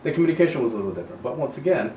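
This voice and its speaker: A man in his forties, born in El Salvador, a high school principal talking in a casual interview in a measured, thoughtful way that trails off softly at the ends of thoughts. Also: expressive, muffled recording. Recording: echoey room, mic at a distance, faint noise.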